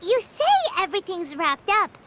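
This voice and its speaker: A little fairy sidekick from an anime game, childlike and squeaky. Her voice is extremely high and swings wildly in pitch. She is earnest and easily flustered, over heavy background noise. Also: huge pitch swings, a little slow, heavily acted. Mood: concerned, insistent. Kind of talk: anime-style game voice acting.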